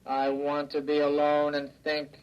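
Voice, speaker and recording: An actor voicing a naive, obedient robot with a harsh, droning, childlike delivery in old radio drama. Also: male voice, a little high, measured pace, clear, resonant tone, close to an everyday voice. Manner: flat, literal, repetitive. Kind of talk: 1950s radio drama acting. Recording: dull old radio broadcast, faint music.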